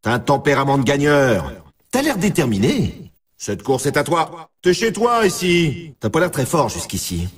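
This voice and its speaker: A man's voice: a little high, huge pitch swings, moderate pace, husky, distant mic, heavily acted. A man playing a brash, teasing snowboard race announcer in a video game, with punchy scripted delivery. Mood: encouraging, then critical. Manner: punchy exclamatory delivery in short scripted lines. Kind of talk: scripted sports announcer lines with colloquial address.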